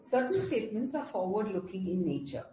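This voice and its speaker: A woman's voice: medium pitch, very animated, a little fast, mellow. A woman reading a formal scripted statement as moderator of a corporate earnings call. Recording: roomy echo, faint background noise.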